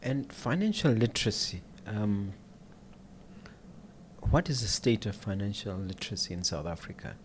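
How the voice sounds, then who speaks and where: A man hosting a podcast, asking questions in a halting way with restarts and murmuring agreement while his guest talks. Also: fairly low pitch, animated, a little hiss or hum. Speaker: podcast host interviewer. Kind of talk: casual interview.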